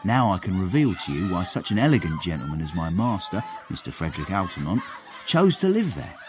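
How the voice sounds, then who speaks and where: An actor playing a cheeky manservant who narrates a period radio comedy in a wry, florid, relishing way, with literary airs. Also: male voice, low voice, big pitch swings, brisk. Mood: florid, exasperated.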